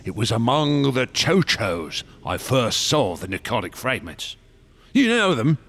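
A passionate, secretive artist obsessed with ancient gods. His voice is gravelly and husky, swooping widely as he tells his tales with theatrical grandeur, in the manner of radio drama. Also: medium pitch, sing-song, unhurried, fairly bright tone, exaggerated voice. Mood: fervent storytelling.

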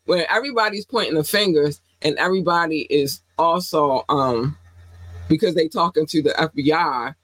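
A woman co-hosting a casual podcast, talking in an animated, playful way, with slang and phrases she repeats for effect. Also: sits low, normal speed, no room sound, rough recording. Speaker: podcast co-host.

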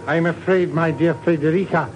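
An actor playing a principled Indonesian patriot man in a 1950s radio drama, speaking with measured, courteous formality. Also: on the high side, fairly even intonation, darkish tone, faint music behind, close to an everyday voice. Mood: politely firm. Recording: muffled, noisy old radio recording.

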